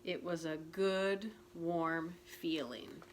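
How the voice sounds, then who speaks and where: A woman teacher reading a picture book aloud to young children, gentle and clear. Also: on the low side, steady tone, slight background noise. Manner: gentle, slow, clearly enunciated. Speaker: preschool teacher.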